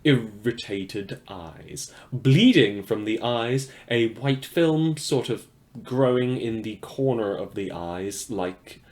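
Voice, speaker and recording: A man playing an animated, awkward radio news host. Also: mid-range voice, takes it slowly. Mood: theatrical, sardonic. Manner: rambling, self-deprecating, dryly sardonic. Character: awkward, wry small-time radio news host in post-apocalyptic city. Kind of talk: fiction podcast, mock radio news broadcast.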